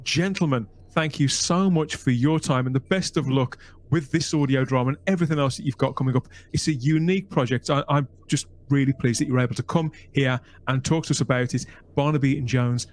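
A man's voice: mid-range voice, fast talker, slight background noise. A man hosting a podcast interview over a video call, upbeat and chatty, thanking guests and pointing listeners to links. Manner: upbeat, wraps up with thanks and plugs. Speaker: podcast host. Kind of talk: casual interview chat.

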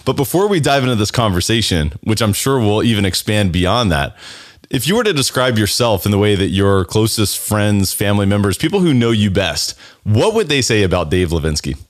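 A man hosting a podcast, energetic and motivational as he introduces a guest and asks questions. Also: lowish voice, a little fast, no room sound, clean studio sound. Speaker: podcast host. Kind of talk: upbeat podcast interviewing.

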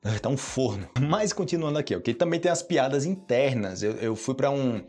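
Man from Brazil, podcast host, casual teaching chat, mid-pitched voice, keeps asking listeners if they follow. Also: lively intonation, normal speed, darkish tone. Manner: chatty, checks in with listeners often. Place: indoors, hot room.

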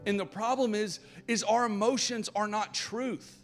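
A man with a Southern American accent, a pastor giving a live church sermon, earnest and building to loud, passionate emphasis. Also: high voice, fairly even intonation, measured pace, faint music behind. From Southern United States.

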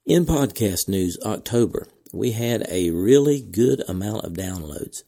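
Deep voiced guy reading podcast announcements, raspy, bit of a cold so his voice cracks. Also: expressive, a little slow, warm tone. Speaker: podcast host. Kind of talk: scripted podcast announcements with casual asides.

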